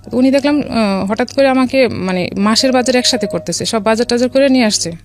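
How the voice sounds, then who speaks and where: A woman's voice: fairly high pitch, brisk, darkish tone. A middle-aged woman with a Bangladeshi accent tells a personal story in a radio interview, conversationally, with some English words mixed in. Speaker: guest telling her life story. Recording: music behind the voice. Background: background music.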